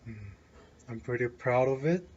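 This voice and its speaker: A nineteen-year-old man from Taiwan, a native Chinese speaker with a Taiwanese accent, answering interview questions in halting English with restarts. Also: medium pitch, lo-fi sound, crowd noise.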